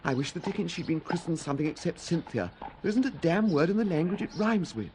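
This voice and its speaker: An actor playing an excitable, lovesick young man with an upper-class English accent, gushing and impatient, in a radio drama. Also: a little high, quick pace, background noise, lightly acted.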